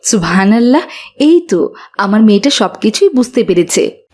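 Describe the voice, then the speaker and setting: A woman voicing a gentle, caring mother who patiently teaches morals in a children's cartoon. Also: mid-range voice, very animated, moderate pace, noisy background, close to an everyday voice.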